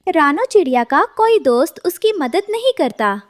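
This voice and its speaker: High, lively woman storyteller. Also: moderate pace, no echo, studio quality. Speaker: storyteller, narrator. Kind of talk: scripted children's story narration.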